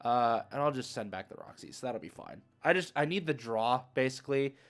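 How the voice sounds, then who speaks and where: A man's voice: medium pitch, lively intonation, fairly quick. A man giving casual, chatty gameplay commentary, thinking aloud as he narrates each move. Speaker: video game streamer.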